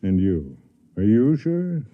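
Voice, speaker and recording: An actor playing a kindly, wry man in a 1950s radio drama, speaking in a measured, gentle way. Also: on the low side, sing-song, phone-quality sound. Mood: businesslike, kindly. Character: kindly, wry, compassionate space-station man, narrating. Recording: vintage radio recording with music behind.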